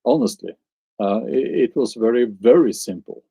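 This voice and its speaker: A man's voice: medium pitch, sing-song, moderate pace, gravelly, mellow, slightly rough, no echo. A man with a Norwegian accent, chatting on a live stream and answering his viewers, hesitant and musing as he searches for words. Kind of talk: casual live stream chat.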